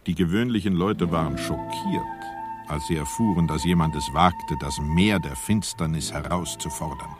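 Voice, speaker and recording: Deep guy narrating a radio play, solemn heroic tone, faint music under him. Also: normal speed. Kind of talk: scripted dramatic narration for a satirical radio play.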